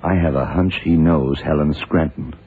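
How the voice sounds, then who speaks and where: An actor playing a shrewd, dogged FBI investigator in a radio crime drama, terse and deliberate. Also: male voice, a bass voice, breathy edge. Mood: brisk, sure. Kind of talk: 1960s radio drama acting. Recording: muffled, noisy old radio broadcast recording.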